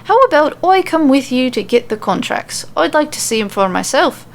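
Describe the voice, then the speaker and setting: Brash Irish warrior woman, as a female narrator plays her, nonchalant and dismissive, raised pitch, fantasy audio story. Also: sing-song, normal speed, creaky, a little hiss or hum, heavily acted. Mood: nonchalant, blunt. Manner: nonchalant, blunt.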